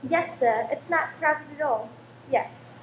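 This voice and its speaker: Female actor as servile, flustered landlady, high voice, keen to please; echoey room. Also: takes it slowly, fairly bright tone. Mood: eager, deferential. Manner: servile, polite, flustered when rebuked. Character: eager, deferential married landlady, anxious to please. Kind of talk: radio play acting. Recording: roomy echo with faint background noise.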